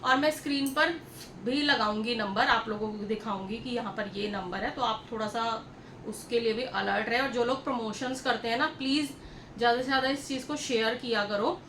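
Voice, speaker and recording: A woman's voice: fairly high pitch, moderate pace, fairly bright tone. A woman telling a story to viewers in a casual, chatty vlog style, repeating herself and addressing them directly. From India. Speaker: vlogger. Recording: echoey room with clear background noise.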